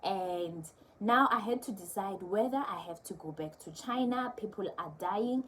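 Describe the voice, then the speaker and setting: A woman's voice: medium pitch, moderate pace, slightly rough. A young woman, a teacher, telling a personal story to camera in a candid, conversational way. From South Africa. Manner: candid, conversational, with soft laughs. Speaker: teacher vlogger.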